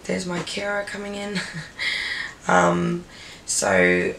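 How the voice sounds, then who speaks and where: A young woman, croaky and drowsy after surgery, talking quietly to camera in her bedroom. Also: lowish voice, big pitch swings, slow pace, recorded from a distance, a little hiss or hum, low-quality recording. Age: young adult. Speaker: vlogger. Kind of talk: casual recovery vlog to camera. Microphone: handheld camera.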